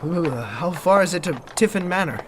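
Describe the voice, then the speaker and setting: A man's voice: on the high side, lively intonation, moderate pace, background noise, close to an everyday voice. A young man playing a polite, bemused narrator of a comic gothic audio drama, speaking formally. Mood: curious, narrating. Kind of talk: scripted first-person narration and comic drama acting.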